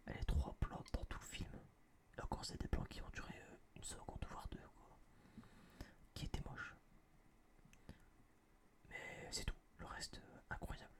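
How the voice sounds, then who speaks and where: A young man whispering close to a binaural microphone in a casual, rambling, blunt ASMR review style, with a breathy, unvoiced voice. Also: unhurried, pauses often. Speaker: ASMR channel host reviewing a film.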